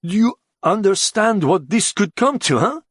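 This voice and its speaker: A man narrating an audiobook as an anxious, soft-hearted comrade, pleading with a slight stammer. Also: on the high side, very animated, measured pace, slightly rough, no echo, studio quality, pitched above the performer's own voice, heavily acted. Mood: appalled.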